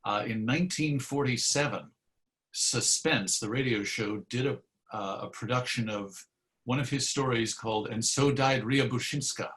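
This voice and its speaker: A man's voice: mid-range voice, normal speed, fairly bright tone. Articulate man telling anecdotes in an interview. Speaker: interview guest, radio historian. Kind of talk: conversational interview storytelling.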